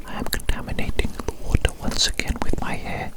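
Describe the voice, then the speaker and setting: A woman acts out an evil genius scientist entirely in whispers for an ASMR roleplay. The delivery is theatrical and grandiose, with words and phrases repeated obsessively, as if fixated on every experiment. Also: brisk.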